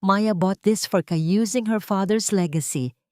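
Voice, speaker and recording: A woman's voice: medium pitch, a little slow, a little mellow, dry recording, studio quality, close to an everyday voice. A woman voicing a stern, commanding woman in a dramatic audio story, cutting and accusatory, with a Filipino accent. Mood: accusing.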